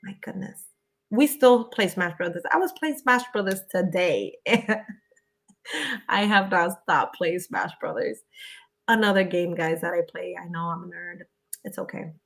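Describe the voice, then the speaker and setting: A woman's voice: lowish voice, measured pace, vocal fry. Young woman, from Connecticut, Spanish first language, chatty live Q&A host, reads comments aloud, laughs often. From Connecticut, USA. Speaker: livestream host answering viewer questions. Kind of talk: casual live Q&A chat.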